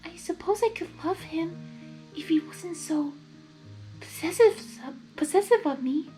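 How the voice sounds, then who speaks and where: A young female pony, timid and sweet-tempered. The voice sits extremely high and soft, with hesitant, pleading phrasing. Faint music plays underneath. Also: animated, unhurried, rough recording. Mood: wistful, anxious.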